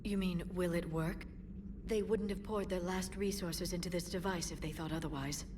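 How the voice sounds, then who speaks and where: An actress playing an earnest, intellectual alien information broker in a video game, speaking in a thoughtful, precise and understated way. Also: female voice, on the low side, steady tone, moderate pace, lightly acted. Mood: concerned. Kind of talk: video game cutscene acting. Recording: distant, noisy game mix.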